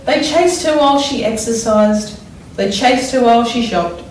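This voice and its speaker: A woman teacher reading a storybook aloud to young children, expressively and deliberately, pausing to wonder aloud about it. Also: on the high side. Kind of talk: expressive children's read-aloud with think-aloud explanations. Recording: echoey room, distant mic, faint noise.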